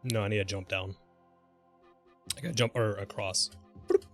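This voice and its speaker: A man livestreaming a video game, chatting casually and thinking aloud in a halting, mildly sweary way. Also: lowish voice, steady tone, fairly bright tone. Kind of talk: casual gameplay commentary and rambling chat. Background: music.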